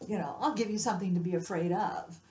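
A woman's voice: on the low side, fast talker, dark tone. Frank woman in an echoey room. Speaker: seminar participant. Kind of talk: informal group discussion. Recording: echoey room with faint noise.

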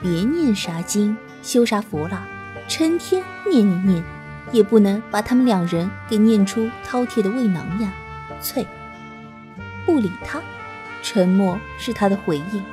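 A woman narrating a fantasy audiobook in a soft, measured storytelling manner. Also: mid-range voice, expressive. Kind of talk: scripted narration. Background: background music.

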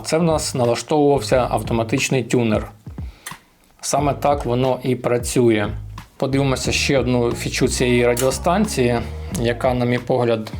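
A man's voice: mid-range voice, a little mellow. A man explaining equipment settings step by step in a casual hands-on tutorial, often using cute diminutive words. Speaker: amateur radio vlogger.

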